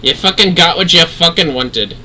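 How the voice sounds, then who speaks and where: A man's voice: a little high, normal speed, pushed and bright, slight background noise, low-quality recording. A man giving casual, sweary and jokey livestream commentary on a game, often exclaiming. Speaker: livestream host.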